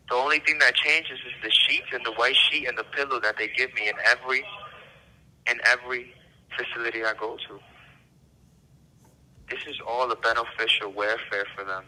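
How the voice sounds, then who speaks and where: A man's voice: on the low side, bright, forceful tone. A man on a prison phone line, giving blunt, aggrieved testimony as an inmate in short, emphatic, repeated phrases. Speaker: prison inmate being interviewed. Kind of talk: casual, blunt personal testimony. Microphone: telephone.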